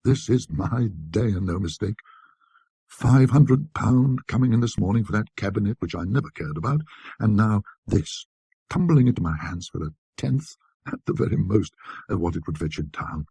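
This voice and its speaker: A man voicing a delighted gentleman antique collector in a storybook, murmuring to himself in husky, self-satisfied musing. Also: fairly low pitch, lively intonation, normal speed, no room sound, exaggerated voice. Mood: complacent delight.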